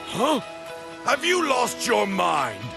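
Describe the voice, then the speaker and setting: A man playing a proud, short-tempered, scornful warrior with a gruff, gravelly voice in an anime game dub. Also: very high-pitched, wildly swooping pitch, takes it slowly, heavily acted. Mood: incredulous scorn. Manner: scornful, blunt. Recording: loud music behind.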